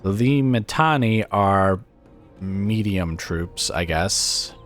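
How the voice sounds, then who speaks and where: A man with a raspy, weakened voice from illness, casually commentating a strategy game and thinking aloud with plenty of hesitant fillers. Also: low voice, animated, drawn-out words, soft background music.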